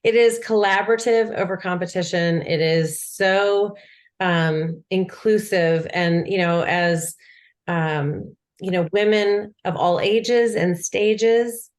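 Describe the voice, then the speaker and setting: A woman's voice: mid-range voice, slow. A woman in her forties speaking as an industry professional, enthusiastic and emphatic in a casual panel talk. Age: 40s.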